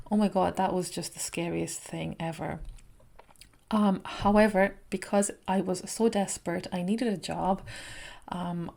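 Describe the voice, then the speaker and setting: A woman vlogger telling a personal story in an unhurried, reflective way, pausing between thoughts. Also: on the low side, a little mellow. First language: not Spanish.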